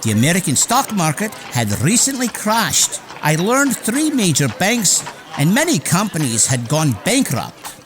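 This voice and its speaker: A man's voice: high voice, huge pitch swings, measured pace, background noise, exaggerated voice. An actor playing a proud immigrant inventor who narrates his life formally and dramatically in a radio theater piece. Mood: solemn recollection. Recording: music under the voice.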